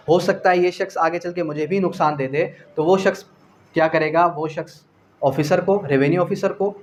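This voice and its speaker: A man's voice: a little high, slight background noise. A man with a Pakistani accent giving a law lecture, asking questions and answering them himself, repeating key phrases and mixing in English terms. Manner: mixes English legal terms into speech. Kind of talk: classroom lecture explaining definitions and articles.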